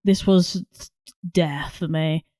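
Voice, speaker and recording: A woman's voice: sits low, fairly even intonation, slow, warm tone, no room sound, clean studio sound. A woman with a British accent giving blunt, exasperated opinions in a casual podcast chat about films. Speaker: podcast co-host. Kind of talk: casual film discussion.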